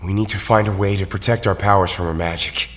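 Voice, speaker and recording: An actor playing an anxious, cautious superhero teammate in an audio drama. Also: male voice, sits low, steady tone, quick pace, a bit bright. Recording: long reverb.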